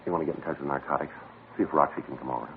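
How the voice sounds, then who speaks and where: An actor playing a dogged police detective sergeant in a 1950s radio crime drama, with a clipped, flat, matter-of-fact delivery. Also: male voice, rumbling low, rapid-fire. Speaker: detective and narrator. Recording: tinny old radio broadcast with faint hiss.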